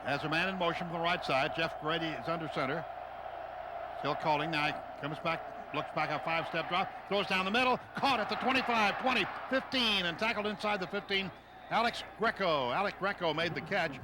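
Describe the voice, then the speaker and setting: A man with a somewhat high voice calls a live football game for radio. His delivery comes in short, clipped phrases that follow the action. Clear stadium noise sits behind him throughout the broadcast. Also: brisk. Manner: clipped running description, excitable on big plays. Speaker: radio play-by-play announcer.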